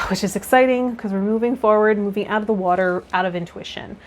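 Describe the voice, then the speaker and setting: A woman's voice: mid-range voice, fairly quick, a little hiss or hum. A woman hosting a tarot video, reading card meanings aloud and adding casual commentary.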